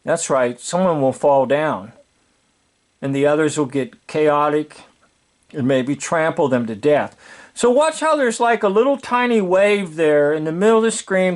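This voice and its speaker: An older man with an American accent giving informal, opinionated commentary in a sardonic, deliberate way, full of rhetorical questions. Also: on the high side, very animated.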